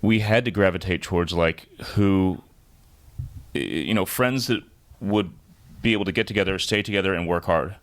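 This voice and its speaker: Low-voiced reflective male band member. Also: normal speed. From St. Louis, USA. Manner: reflective, searching for words. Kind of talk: casual podcast chat.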